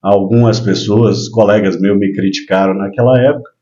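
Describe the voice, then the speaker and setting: A man in his sixties from Minas Gerais, a guest telling his life story in relaxed, casual chat with frequent hesitations. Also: fairly low pitch, moderate pace, lo-fi sound. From Minas Gerais, Brazil. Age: 60s. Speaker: guest, local businessman.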